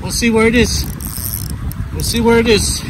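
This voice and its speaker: A man's voice: extremely high pitch, normal speed. A man narrating a casual vlog of a fishing trip, cheering and whooping when fish bite. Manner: excitable, whoops and cheers at catches. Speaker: vlogger filming a beach fishing trip. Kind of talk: casual vlog narration, mixing Tagalog and English. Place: windy tropical beach outdoors. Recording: loud wind buffeting the phone mic. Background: strong wind noise and surf.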